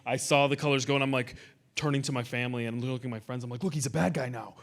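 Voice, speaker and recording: A man with a mid-pitched voice hosts a fan convention panel. His questions are chatty and fannish, strung along with "like" and little acted-out reactions. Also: fairly even intonation, rapid-fire. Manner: fannish, uses "like" often, acts out reactions. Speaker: panel moderator. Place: convention panel before an audience.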